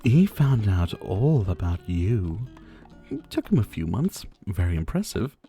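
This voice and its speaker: A man's voice: lowish voice, big pitch swings, unhurried, mellow, faint music behind. A man playing a possessive, flirtatious and condescending rich dictator in an intimate ASMR roleplay, doting on the listener with pet names. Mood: smug, flirtatious. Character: possessive, teasing male yandere dictator, rich and menacing.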